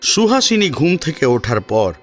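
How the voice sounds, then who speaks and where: Male narrator for a dramatic audio serial: mid-pitched, highly animated intonation, expressive scripted read over soft strings. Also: unhurried. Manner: expressive storytelling. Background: soft sitar and plucked string music.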